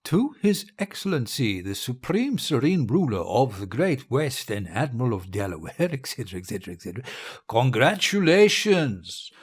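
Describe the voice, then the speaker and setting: Audio drama lead: grandiose murderous dictator, breathy rasp, theatrical delivery with big swings in pitch, sinking into murmurs. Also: male voice, sing-song, normal speed. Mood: pompous mockery. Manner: pompous, theatrical, savouring words, drifting into murmurs. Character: deposed-feeling murderous dictator facing death, grandiose and bitter.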